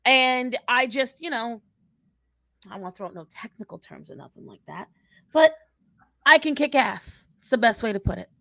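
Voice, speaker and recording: A woman's voice: fairly high pitch, animated, normal speed, vocal fry, sharp tone, no room sound, exaggerated voice. A woman voicing a cocky young fire-mage from the sixties, talking in sassy, blustering slang on an improvised livestream.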